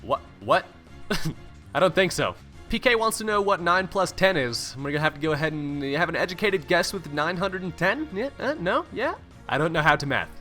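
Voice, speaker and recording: A man's voice: fairly high pitch, very animated, normal speed, sharp tone, faint music behind. A young man from California, a YouTuber reading out viewer questions and answering them in a joking, laid-back way, swearing casually. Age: young adult. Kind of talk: casual Q&A voice-over. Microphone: home recording mic, no webcam.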